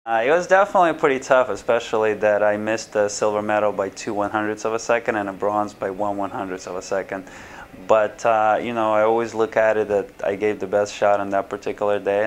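Low-voiced man in his thirties, flat delivery. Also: a little hiss or hum. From Ukraine. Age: 30s. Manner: hesitant, many fillers and restarts. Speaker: swim school owner. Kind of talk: answering interview questions to camera. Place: small office indoors. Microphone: camera framed at chest height, seated.

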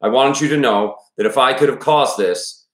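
A man's voice: mid-range voice, normal speed, a bit bright, pitched above the performer's own voice, natural voice. A man voicing a worried, plainspoken older father from a small town, with a Maine accent, in an audiobook-style reading. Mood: worried, confiding. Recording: roomy echo around the voice.

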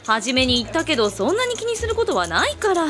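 A woman plays a tomboyish young lady with a high, very animated voice. She talks bluntly and casually, teasing like a big sister in a comic radio drama. Music plays clearly behind her. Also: fast. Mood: reassuring.

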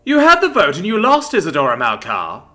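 Audiobook role: righteous young female magic student leader, played by a man, pitched high, loud and bright. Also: huge pitch swings, brisk, heavily acted. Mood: indignant, scornful. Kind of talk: dramatic audiobook character voice.

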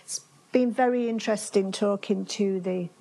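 A woman's voice: medium pitch, fairly even intonation, normal speed, creaky, mellow. An older woman with a Yorkshire accent giving a chatty conference talk in a seminar room, restarting phrases often. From Yorkshire, England. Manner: chatty, with frequent restarts and fillers. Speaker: conference speaker. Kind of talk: conference presentation. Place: seminar room with projector screen.

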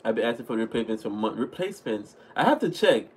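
A young man with a Jamaican accent talks easily to his viewers on a home livestream, answering chat questions and thanking them as he goes. His voice sits at an everyday middle pitch, and it is picked up by a webcam mic in a small room. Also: fast, husky. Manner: relaxed, chatty, thanks viewers often. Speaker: livestream host reading chat. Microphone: webcam at desk.

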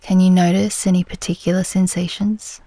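A woman leading a guided meditation in a gentle, soothing, unhurried manner, her soft voice turning breathy at the ends of phrases. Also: on the low side, little pitch movement, no room sound.

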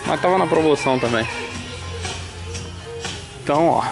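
A man's voice: fairly high pitch, normal speed, gravelly, over music. A man from Brazil giving an upbeat, chatty tour of outlet stores for his vlog, filmed on a handheld camera. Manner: chatty, upbeat, pointing things out. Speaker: vlogger. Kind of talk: casual shopping vlog.

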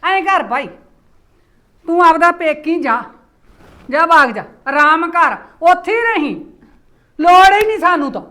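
A woman playing a sharp-tongued, sarcastic mother-in-law in a village family drama. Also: extremely high pitch, lively intonation, full character voice. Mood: scolding. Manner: scolding, sarcastic, rapid complaints.

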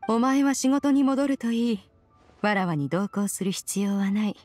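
A woman's voice: mid-range voice, normal speed, a little mellow, lightly acted. A woman voicing a proud, ancient immortal spirit in a Japanese game, aloof yet caring, with haughty, archaic phrasing. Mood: composed, approving. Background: music.

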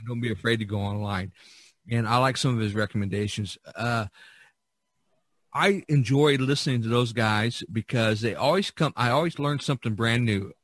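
A man's voice: mid-range voice, moderate pace, fairly bright tone. A man hosting a podcast, chatting casually and giving advice in an emphatic, self-deprecating way, often repeating himself. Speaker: podcast host and artist.

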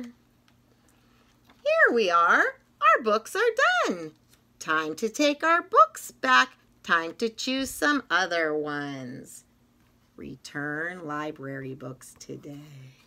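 A middle-aged woman reading a children's picture book aloud like a teacher, in an expressive, rhythmic sing-song manner with drawn-out phrases. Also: fairly high pitch. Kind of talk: read-aloud of a children's story. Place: small indoor classroom-style room.

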